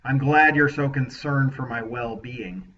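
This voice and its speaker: A man's voice: medium pitch, a little slow, pushed and bright. A man voicing a cowardly, stingy knight who complains sarcastically, heard slightly distant and dull. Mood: sarcastic.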